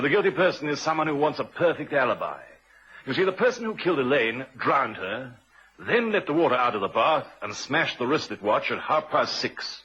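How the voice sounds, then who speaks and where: Shrewd radio police inspector, husky and bright. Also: male voice, medium pitch, full character voice. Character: shrewd, unhurried male police inspector explaining a murder. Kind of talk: old-time radio drama acting. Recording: muffled vintage radio recording.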